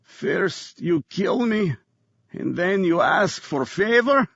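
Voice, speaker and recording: An audiobook narrator voices a wounded foreign hitman with a heavy accent. He speaks slowly in labored, halting phrases, cryptic and menacing, in a dry but slightly dull recording. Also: high voice, lively intonation, gravelly, a bit bright, no echo, voice pushed up, heavily acted. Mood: labored, ominous.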